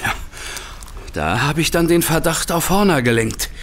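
An agitated, guilt-ridden thief, swinging pitch. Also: male voice, lively intonation, fairly bright tone. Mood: confessing, shaken. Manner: agitated, pleading, halting. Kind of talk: German audio drama acting.

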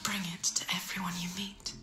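A woman playing an insidious voice in a warrior's head in a video game, goading in a breathy whisper. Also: mid-range voice, level tone, a little fast, close to an everyday voice. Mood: cruel, taunting. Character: taunting female voice inside a tormented warrior's head. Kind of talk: dramatic video game acting. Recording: echoing whispers over music.

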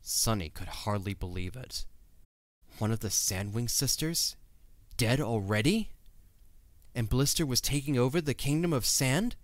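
A man's voice: medium pitch, expressive, a little slow, dry recording. A man narrating a scripted audio drama as a dramatic storyteller.

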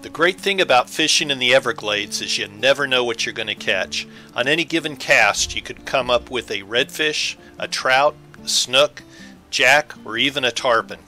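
Bright-voiced man narrating over guitar music. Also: medium pitch, normal speed. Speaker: angler narrating his fishing trip.

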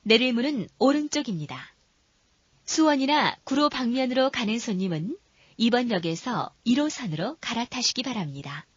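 A woman reading scripted subway announcements in a formal, measured manner. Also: fairly high pitch, expressive, breathy edge, no echo. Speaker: train announcer. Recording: dull, lo-fi train announcement recording.

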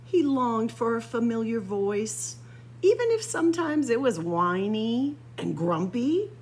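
A woman reading a children's picture book aloud expressively, with dramatic pauses and drawn-out emphasis. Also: a little high, very animated, darkish tone, breathy edge. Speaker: library story-time reader. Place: library room with bookshelves.

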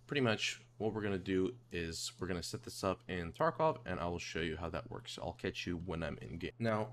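A man narrating a casual software tutorial, informally explaining things step by step. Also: low voice. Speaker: tutorial host. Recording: clear background noise and hum.

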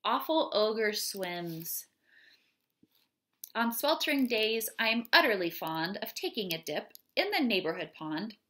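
A woman in her thirties reading children's poems aloud in an expressive, deliberate way, with chatty asides. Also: medium pitch. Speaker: read-aloud host. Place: small home room, indoors.

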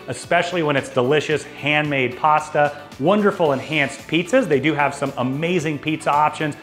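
A man's voice: on the high side, a bit bright. A man in his forties hosting a travel show, talking to camera in an upbeat, salesy way with personal asides, on a lapel mic in a studio. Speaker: travel agency host. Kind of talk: enthusiastic cruise overview to camera. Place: dark studio backdrop, indoors. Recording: faint background music.

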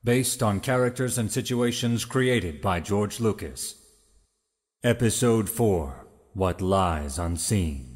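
Low-voiced solemn male narrator. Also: expressive. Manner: solemn, measured. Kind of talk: dramatic scripted narration. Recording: music behind the narration.

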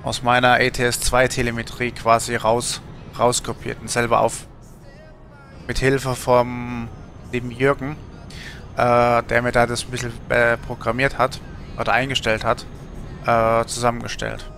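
Gravelly-voiced man chatting on a gaming stream. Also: medium pitch, monotone, unhurried, fairly bright tone, a little hiss or hum. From Germany. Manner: rambling, colloquial, thinking aloud. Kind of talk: casual livestream chat while gaming.

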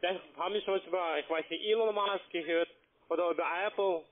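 A high-voiced man speaks with a foreign, non-native accent. He sells investments over a thin, tinny phone connection, stumbling politely through broken phrasing in a formal sales pitch. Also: steady tone, fairly quick. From Slovakia. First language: not German. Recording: narrow-band, tinny phone line.

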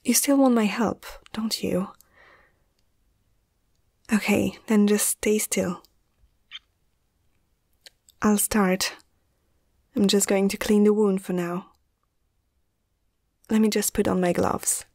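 A young woman playing a caring, slightly awestruck vet in a soft-spoken ASMR roleplay, gentle and hesitant, often slipping into a breathy half-whisper. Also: medium pitch, fairly even intonation, takes it slowly, pauses often, no room sound, clean studio sound. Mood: gentle, focused. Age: young adult.

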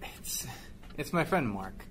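A man's voice: mid-range voice, big pitch swings, slow, a bit bright, a little hiss or hum. An actor playing a hesitant, worried schoolboy confiding in his dad in an audio drama.

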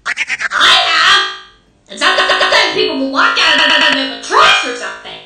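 A woman voicing an excitable, vain, jealous little animatronic bear in a comic dub. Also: high-pitched, normal speed, heavily acted. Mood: excited. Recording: roomy, distant, under loud music.

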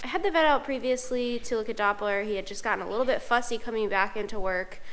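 A woman's voice: a little high, quick pace, fairly bright tone. A young woman vlogging casually to a handheld camera, a little congested from a cold, chatty and upbeat with frequent ums, first in a car and then in a horse barn. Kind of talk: casual vlog chat to camera. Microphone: camera held at arm's length.

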